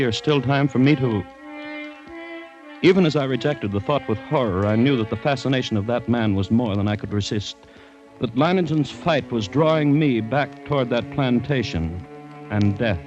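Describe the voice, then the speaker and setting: An actor playing a grave, fascinated district commissioner, narrating with deliberate, brooding drama in an old-time radio play. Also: male voice, mid-range voice, natural voice. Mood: tense, fatalistic. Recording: dull vintage radio recording with music.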